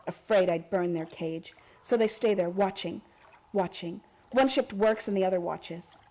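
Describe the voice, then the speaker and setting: An actress playing an earnest young heiress in a radio drama, with urgent, impassioned delivery. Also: female voice, medium pitch, measured pace, a little mellow. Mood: anxious.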